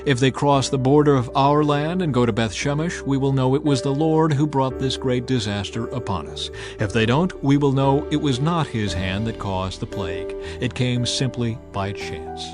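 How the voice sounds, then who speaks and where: A man's voice: mid-range voice, moderate pace, hardly any pauses, over music, natural voice. A man as grave pagan priest giving counsel. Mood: grave, instructive.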